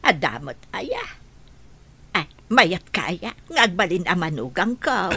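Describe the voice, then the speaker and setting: A woman playing a gentle old grandmother. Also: on the low side, very animated, slow pace, husky, exaggerated voice. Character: kindly elderly grandmother of the family. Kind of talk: radio drama acting.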